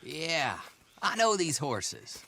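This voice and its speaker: Game oddball: rustic American fence, shifty, slow-talking, singsong swings, faintly menacing. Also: male voice, on the high side, big pitch swings, gravelly, sharp tone, full character voice. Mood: amused, rambling. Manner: rambling, repetitive, eccentric. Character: shifty, simple-minded rustic male horse fence, oddly menacing. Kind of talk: video game cutscene acting.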